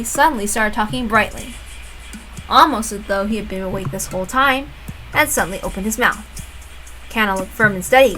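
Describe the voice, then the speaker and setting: A woman's voice: medium pitch, bright, forceful tone, rough recording. A woman reading visual novel narration aloud in a dramatic storytelling style.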